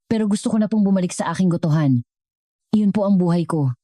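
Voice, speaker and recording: A woman with a Filipino accent voicing a humble, kindly old woman cook, gentle and polite, in a dramatic story. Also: fairly low pitch, fast, no room sound, clean studio sound, voice pushed up, lightly acted. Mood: humble, firm.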